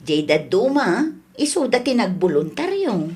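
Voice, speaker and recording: A woman playing a chatty, good-natured village wife and mother in a comedy radio drama, talking in an unhurried, conversational way. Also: mid-range voice, very animated, a little mellow. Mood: chatty, easygoing.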